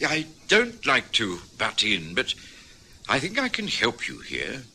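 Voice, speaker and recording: An actor with a British accent playing a courteous, slightly hesitant middle-aged doctor who also narrates, in a vintage radio drama. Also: male voice, medium pitch, wildly swooping pitch, unhurried, gravelly, pushed and bright, slight background noise, full character voice. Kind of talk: 1950s-60s radio drama acting.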